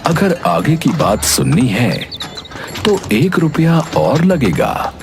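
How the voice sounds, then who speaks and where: A man playing a shrewd holy man in a cartoon moral story, handing out counsel in a solemn, drawn-out way. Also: a little high, huge pitch swings, over music, full character voice. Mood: solemn, canny. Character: shrewd wandering holy man dispensing paid advice.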